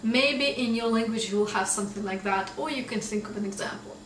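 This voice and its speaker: A woman with a Russian accent talks through English vocabulary at an easy pace, often checking in with "right?". Her voice sits a little high. She sounds set back from the mic in a roomy space with faint noise behind her. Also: recorded from a distance. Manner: explains slowly, tags lines with "right?". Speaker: English teacher. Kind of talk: casual vocabulary lesson.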